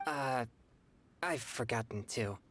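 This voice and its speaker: A woman's voice: a bass voice, takes it slowly, a little hiss or hum. A woman voicing an earnest, naive teenage boy exorcist in a video game, with a serious, blunt and easily roused manner. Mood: puzzled, compliant.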